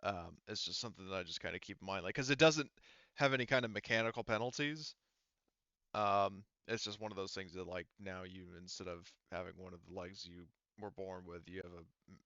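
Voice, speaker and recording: A man running a tabletop game as game master, explaining things casually and haltingly. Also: deep voice, sharp tone, no room sound, lo-fi sound.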